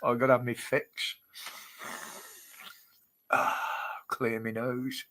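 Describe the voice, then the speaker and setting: Bunged up brit guy doing a slow chatty livestream, says hi to viewers, laughs a lot. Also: mid-range voice, flat delivery, bright, forceful tone, rough recording. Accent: British. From United Kingdom. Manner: chatty, greets viewers by name, chuckles often. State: stuffy, blocked nose. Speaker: livestream host. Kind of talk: casual livestream chat.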